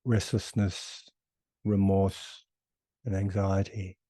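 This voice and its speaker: A man leading a guided meditation as a teacher, unhurried, giving one short phrase at a time with long pauses. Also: low-pitched, little pitch movement, mellow, no room sound.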